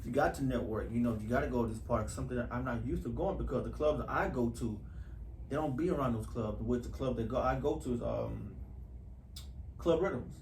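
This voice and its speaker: A man's voice: medium pitch, fairly quick, darkish tone. Earnest man talking in an echoey room. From Houston, US. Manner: earnest, repeats phrases for emphasis. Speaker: actor being interviewed. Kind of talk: casual interview talk. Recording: echoey room with faint background noise.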